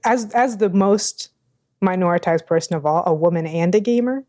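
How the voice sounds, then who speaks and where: A woman's voice: on the low side, moderate pace, dark tone, dry recording, studio quality. A young adult woman co-hosting a casual podcast, sardonic, swearing freely and laughing often. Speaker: podcast co-host.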